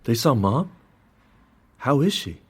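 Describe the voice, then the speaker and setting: An actor narrating as an earnest young student, telling his story in an earnest, wry and lively way. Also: mid-range voice, slow, breathy edge, no echo, full character voice. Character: earnest young Japanese American male student. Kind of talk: one-man stage play narration.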